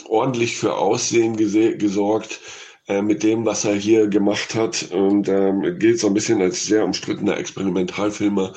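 A man with a fairly low, very creaky voice talks through film picks as a radio critic. He speaks off the cuff, feeling his way through each thought. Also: darkish tone. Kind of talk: unscripted radio film review.

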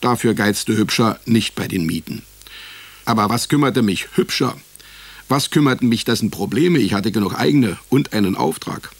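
An actor playing a wry, broke private detective in a radio play, with a deadpan, sardonic manner. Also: male voice, on the low side, normal speed, no echo. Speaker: narrator and lead actor. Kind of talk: first-person detective radio play narration and dialogue. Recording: music behind the voice. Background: music.

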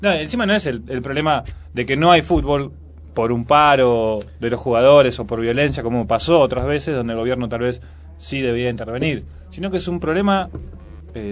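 A man with a Buenos Aires accent giving informal, opinionated news commentary as a radio co-host. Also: medium pitch. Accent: Buenos Aires Argentine. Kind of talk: casual commentary on local news.

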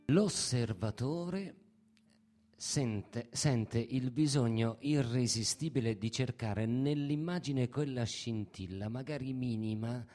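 A man with a mid-pitched, dark and mellow voice reads a literary essay aloud. He goes very slowly, setting down each phrase with deliberate weight. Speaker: reader in a radio reading performance.

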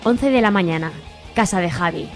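A woman's voice: lowish voice, lively intonation, moderate pace, soft background music. A woman with an accent from Spain reading scripted narration for a radio serial.

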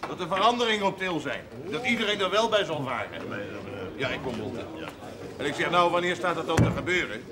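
An actor playing an excitable village man in a Dutch period TV drama, talking in a colloquial, animated way. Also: fairly high pitch, brisk, close to an everyday voice. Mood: eager, gossipy. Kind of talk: 1970s Dutch television period drama acting. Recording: TV soundtrack amid loud crowd murmur.

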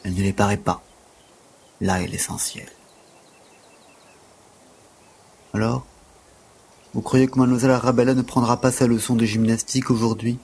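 A man's voice: mid-range voice, fairly even intonation, fairly quick, long pauses, darkish tone, slightly rough. A man playing a prim, aloof, penniless gymnastics teacher in an audio drama, speaking with dry, formal precision. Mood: indignant. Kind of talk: French audio drama acting.

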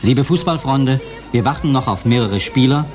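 An actor playing an emphatic television football host with a projected announcer's delivery, in a radio drama. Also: male voice, medium pitch, lively intonation, moderate pace, darkish tone, faint music behind, lightly acted. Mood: emphatic, expectant. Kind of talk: scripted German radio drama, broadcast announcing.

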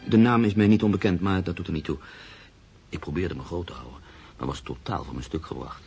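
A man's voice: sits low, brisk, darkish tone. An actor playing a proud, troubled middle-aged writer in a radio drama, formal and hesitant, brooding as he narrates. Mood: flustered, formal. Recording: radio play with music underneath.

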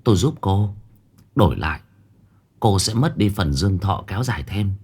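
A man's voice: sits low, animated, normal speed, a little mellow. A man doing a grave old woman fortune-teller.

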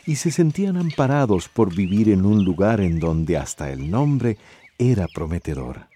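A male radio-serial narrator with a low, mellow voice. He tells the story dramatically, his pitch moving expressively from line to line. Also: slightly rough, heavily acted. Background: music.

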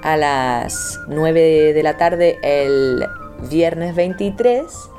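A young woman speaks as an interview guest in a relaxed, informal way. Her voice is low and warm. Faint music plays behind her. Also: drawn-out words. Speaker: theatre artist, interview guest. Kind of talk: casual interview talk.

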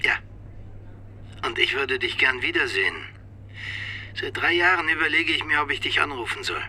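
Sharp-voiced, sardonic old Soviet spymaster. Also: male voice, fairly high pitch, animated, normal speed, husky, exaggerated voice. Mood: sardonic familiarity. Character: ageing sardonic Soviet ex-intelligence officer, former mentor. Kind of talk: German radio play dialogue.

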